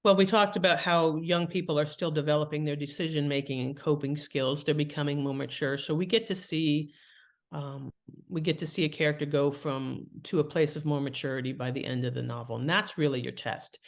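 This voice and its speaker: A woman's voice: sits low, fairly even intonation, fairly quick. A woman talks as an interview guest with a writer's teaching bent. She explains in a conversational way and illustrates her points with examples. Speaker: guest author and writing teacher.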